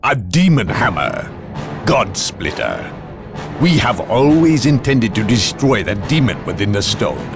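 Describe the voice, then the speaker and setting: A man playing a stern, steadfast warrior in a video game, with a measured, formal, grave manner. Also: on the low side, big pitch swings. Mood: grave resolve. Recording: game audio over loud background music.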